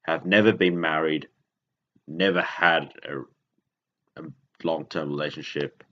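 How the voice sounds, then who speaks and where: A man from Indonesia hosting a podcast, talking in a halting, rambling way and often correcting himself. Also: extremely low pitch, steady tone, a bit bright. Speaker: podcast host. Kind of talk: casual monologue. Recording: dull lo-fi recording.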